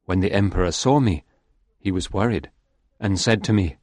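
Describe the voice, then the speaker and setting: A man reading a story aloud in a slow, measured way, speaking as the wandering soul of a young fisherman. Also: deep voice, a little mellow, no echo, clean studio sound. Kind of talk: audiobook reading.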